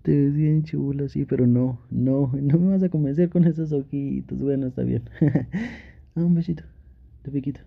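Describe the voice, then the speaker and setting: A man's voice: mid-range voice, normal speed, creaky, phone-quality sound. A young man playing an affectionate, teasing, pouty boyfriend in an intimate ASMR roleplay, talking playfully and pleadingly with sweet pet names. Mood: teasing, tender. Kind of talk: Spanish ASMR boyfriend roleplay, intimate one-sided dialogue.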